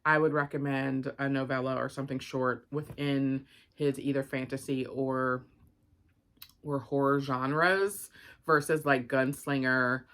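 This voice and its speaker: A woman's voice: rumbling low, fairly even intonation, a little slow, a bit bright. A woman giving an informal, rambling book review and unboxing, thinking aloud with frequent asides.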